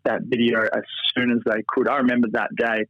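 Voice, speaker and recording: A man's voice: medium pitch, flat delivery, a little fast. An Australian man talks in a loose, rambling, upbeat way. His voice comes through a slightly muffled, lo-fi line, with no room echo at all. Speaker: guest. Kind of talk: casual interview chat.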